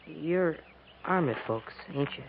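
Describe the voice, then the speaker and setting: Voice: mid-range voice, expressive, slow, gravelly. An actor playing a frightened, dazed frontier boy in a 1950s radio western, polite and guarded, with hesitant rustic speech. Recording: muffled, noisy 1950s radio recording.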